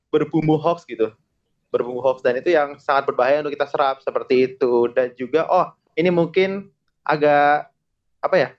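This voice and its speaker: Young man explaining on a dull-sounding podcast. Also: on the high side. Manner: explains in relaxed slang, chuckling often. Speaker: podcast guest. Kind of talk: casual podcast chat.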